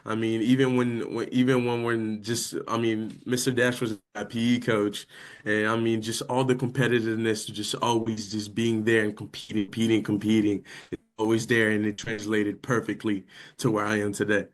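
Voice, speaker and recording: Young guest, native French speaker, low and level, relaxed and unpolished. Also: male voice, moderate pace. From France. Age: young adult. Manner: easygoing, many "I mean" fillers. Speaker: guest, college linebacker. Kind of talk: casual interview.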